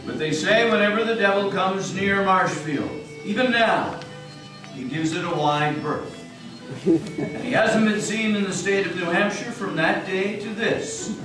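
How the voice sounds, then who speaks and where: Male narrator for live dramatic reading, high-pitched voice, strongly echoing hall. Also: a little slow. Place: meeting house hall. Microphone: distant microphone. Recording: echoey hall, distant mic, music.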